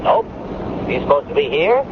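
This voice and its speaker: Spooky radio reporter, tinny and noisy. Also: male voice, on the high side, huge pitch swings, normal speed. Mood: alert, casual. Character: male on-location reporter narrating spooky broadcast. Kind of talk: old-time radio drama, dramatic announcer narration.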